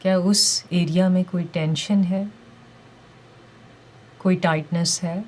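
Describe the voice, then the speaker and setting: A woman leading a guided meditation with calm, gentle, deliberate instructions and pauses between phrases. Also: on the low side, a little hiss or hum.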